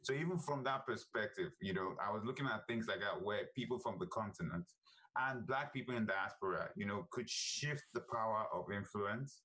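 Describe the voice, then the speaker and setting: A man's voice: fairly low pitch, expressive, normal speed, bright, forceful tone, poor audio. A man from Nigeria, speaking as a panelist in an emphatic way and often checking in with "right?". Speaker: panelist, comic company head. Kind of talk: virtual panel discussion.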